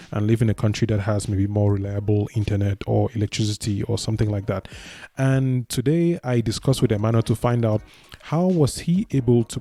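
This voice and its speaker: Mellow-voiced man hosting a podcast. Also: medium pitch. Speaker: podcast host and interviewer. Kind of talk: podcast introduction and conversational interviewing.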